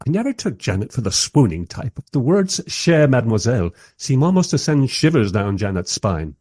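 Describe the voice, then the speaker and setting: Male actor as wry documentary maker, husky, animated, expressive first-person storyteller, close dry sound, radio drama. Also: mid-range voice, normal speed, no echo. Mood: observant, wry. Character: wry, enthusiastic documentary maker narrating his historical encounters. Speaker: narrator.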